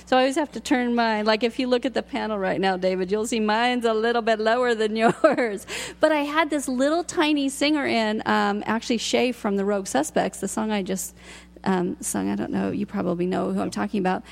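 A woman hosting a radio interview, chatty and enthusiastic, with a loud voice that projects. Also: on the high side, brisk, vocal fry. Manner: enthusiastic, chatty, laughs often. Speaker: radio show host.

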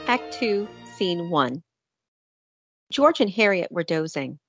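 A woman's voice: on the low side, big pitch swings, slow, lo-fi sound, soft background music. A woman narrating a scripted audio drama in a descriptive storytelling manner.

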